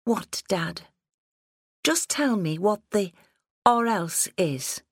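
A woman's voice: medium pitch, expressive, slow, no room sound, studio quality, pitched above the performer's own voice. A woman voicing a rebellious nineteen-year-old farm daughter in an audiobook, snappy and resentful. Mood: quietly challenging. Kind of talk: audiobook character dialogue.

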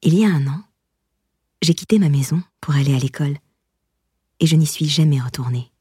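Woman, audiobook narrator, very husky and breathy, mellow dark timbre, measured expressive reading, scripted memoir narration. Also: low voice, dry recording, studio quality.

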